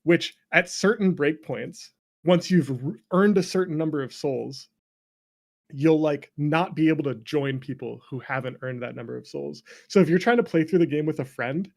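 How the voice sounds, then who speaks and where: A man's voice: on the high side, moderate pace, gravelly, a little mellow. A man in a casual, opinionated chat about video games, rambling and swearing freely as an interview guest. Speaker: interview guest, game developer.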